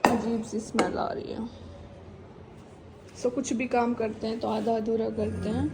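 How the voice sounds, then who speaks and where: A woman's voice: a little high, flat delivery, a little slow, heavy background noise. A young woman with a sore throat narrating her day in a casual home vlog, chatty and mixing English words into Hindi. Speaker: vlogger.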